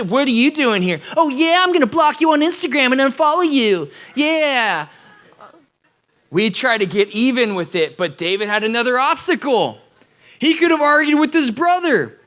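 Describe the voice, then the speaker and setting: Young guy preaching to students, high voice, super casual and animated. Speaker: youth pastor. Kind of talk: energetic youth sermon.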